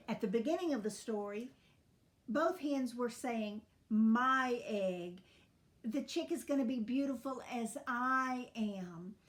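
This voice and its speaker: A woman storyteller reading expressively for children in a deliberate, teacherly manner. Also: fairly high pitch, a little mellow.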